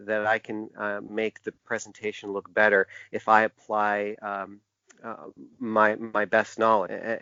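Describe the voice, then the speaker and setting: A man speaks as an interview guest, explaining his creative work in a conversational register. His delivery is halting and searching, with long answers. The recording is dry and somewhat muffled. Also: lowish voice, a bit bright, clean tone, no room sound. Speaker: podcast guest, typographer and graphic designer. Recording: dull, lo-fi, dry podcast audio.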